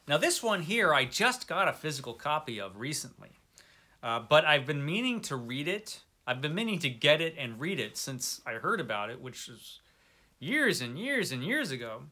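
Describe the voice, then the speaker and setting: A middle-aged man with a very bright, forward voice at an average pitch. He chats casually to an online audience like a book vlogger, wandering into wry, self-mocking asides. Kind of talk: casual chat to camera, book recommendations.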